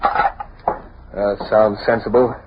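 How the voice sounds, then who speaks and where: An actor playing a nervy, plain-spoken parking lot owner in slangy old-time radio style. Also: male voice, fairly low pitch, flat delivery, very slow. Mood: uneasy. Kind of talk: 1930s-40s radio acting. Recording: dull, noisy vintage radio recording.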